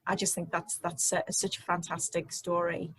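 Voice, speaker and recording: A woman's voice: lowish voice, little pitch movement, normal speed, fairly bright tone, poor audio. A woman hosting an interview, responding with enthusiasm and asking questions. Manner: enthusiastic, encouraging. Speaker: interview host.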